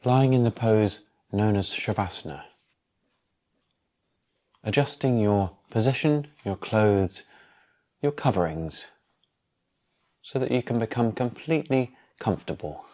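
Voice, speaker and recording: A man guiding a yoga nidra meditation in a calm, unhurried, instructional manner with long pauses. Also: fairly low pitch. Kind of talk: guided meditation.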